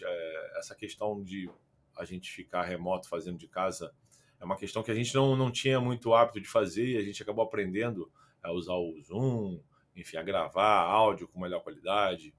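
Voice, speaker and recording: A young man with a Carioca accent and a fairly low voice, chatting on a podcast over a remote call. He rambles, leans on fillers and restarts his sentences often. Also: fairly bright tone. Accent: Carioca Portuguese. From Rio de Janeiro, Brazil. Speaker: podcast guest, football content creator. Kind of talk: casual podcast conversation.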